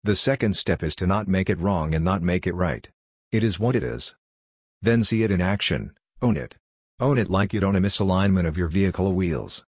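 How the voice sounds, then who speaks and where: An older man from Hungary, whose first language is Hungarian, speaks in a deep, breathy-edged voice. He talks in a blunt, lecturing monologue. The sound is close and dry, with no room around it. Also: normal speed, dry recording. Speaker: workshop leader. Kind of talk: scripted monologue.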